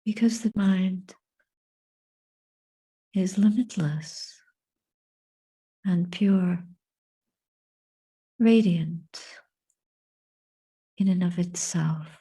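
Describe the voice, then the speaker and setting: A woman giving a guided meditation and reflection as a Buddhist teacher, speaking softly and breathily in measured, contemplative phrases with long pauses. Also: fairly low pitch, poor audio. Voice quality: soft, breathy.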